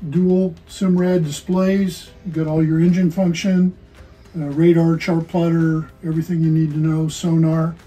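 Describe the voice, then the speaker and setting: Older man, fairly high voice, mellow tone, plain feature-by-feature tour inside a boat, faint music behind. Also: rough recording. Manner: matter-of-fact, listing features. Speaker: boat salesman giving a walkthrough. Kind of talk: walkthrough tour presentation. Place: inside a boat in an indoor showroom.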